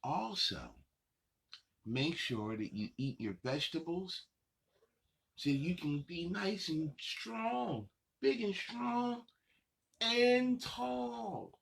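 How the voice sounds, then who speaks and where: A gravelly-voiced man hosts a story time for little kids. He takes his time, speaking slowly in simple, repeated phrases. His delivery is encouraging and reassuring, with a husky rasp. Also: high-pitched, lively intonation, fairly bright tone. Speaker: host of a children's story time show. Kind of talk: addressing young children directly.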